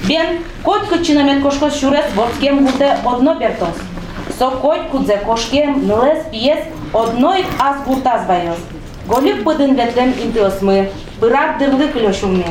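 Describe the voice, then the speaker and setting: A woman hosting a library club meeting, reciting poetry and giving closing remarks with a measured, recital-like delivery. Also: high voice, expressive. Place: library meeting hall, indoors. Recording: echoey hall with clear background noise.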